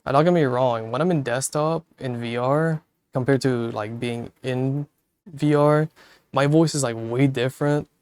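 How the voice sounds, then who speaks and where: A man chatting casually in a relaxed, rambling way, swearing freely and chuckling now and then, speaking into a cheap wireless headset mic held far from his mouth. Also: medium pitch, unhurried, clean tone. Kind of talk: casual chat.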